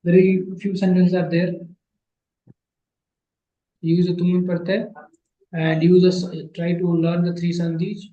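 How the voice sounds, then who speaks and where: A man teaching Sanskrit grammar in an online class, patient and repeating phrases slowly, his English not his first language. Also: high-pitched, flat delivery, warm tone, reverberant, muffled recording.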